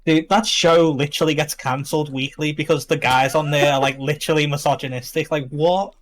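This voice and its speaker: A man's voice: on the high side, fairly even intonation, brisk. A young man with a Liverpool accent, chatting casually and informally with plenty of slang. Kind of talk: casual chat.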